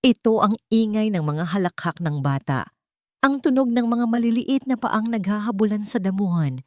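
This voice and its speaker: A woman reading a scripted dramatic story in measured, emotive short phrases. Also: medium pitch, a little mellow, dry recording, studio quality. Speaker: story narrator.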